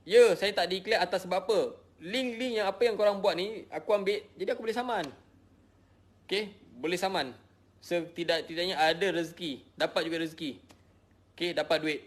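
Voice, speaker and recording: Tired malaysian guy, middle-aged, kinda high voice, keeps repeating himself, defensive. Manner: emotional, repetitive, defensive. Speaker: social media personality. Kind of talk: personal explanation to followers, casual.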